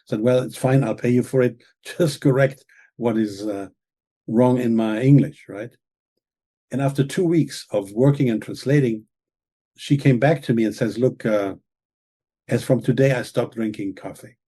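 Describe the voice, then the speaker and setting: Man, English speaker, mellow tone, slightly breathy, earnest explainer. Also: medium pitch, moderate pace. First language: German. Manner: earnest, lecturing, with frequent "okay?" and "right?" check-ins. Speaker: author and guest expert. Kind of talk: explaining in an interview.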